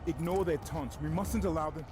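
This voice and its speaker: An actor playing a practical soldier in a fantasy game, speaking with brisk urgency. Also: male voice, a little high, background noise, close to an everyday voice. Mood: urgent. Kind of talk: cinematic fantasy game acting.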